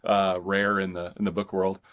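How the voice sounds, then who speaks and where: Male podcast guest, low voice, halting and conversational, thinking aloud as he talks. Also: completely flat delivery, fairly bright tone. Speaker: podcast guest, fiction author. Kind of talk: casual interview conversation.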